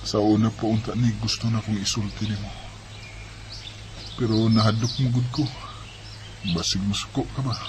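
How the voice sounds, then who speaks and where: A man's voice: on the low side, steady tone, moderate pace, long pauses, noisy background, close to an everyday voice. A man playing an eager, smitten young student in a Bisaya Filipino radio romance, talking casually and coaxingly. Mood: shy, hesitant. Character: eager, smitten young male student courting his friend.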